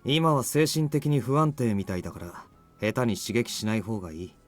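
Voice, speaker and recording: A man playing a blunt young anime ally, cool and curt but protective. He talks very fast in a fairly low voice. The delivery is visual novel acting with music playing along. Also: lively intonation, close to an everyday voice. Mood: cool, terse.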